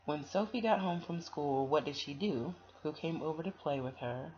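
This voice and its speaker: A woman's voice: low voice, normal speed, darkish tone, dull audio. A woman doing a children's storytime, reading and chatting to listeners in an encouraging, affectionate way.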